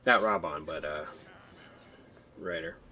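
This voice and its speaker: A man's voice: extremely low pitch, slow pace, pushed and bright. A man giving casual, chatty gameplay commentary, drifting into rambling asides. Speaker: video game player commentator.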